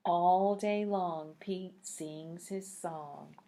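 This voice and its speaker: Female storybook narrator for little kids: slow delivery, slightly low voice, teacherly, invites answers with questions. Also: mellow. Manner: asks listeners questions, teacherly. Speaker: picture book read-aloud narrator. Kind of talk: storybook read-aloud for young children.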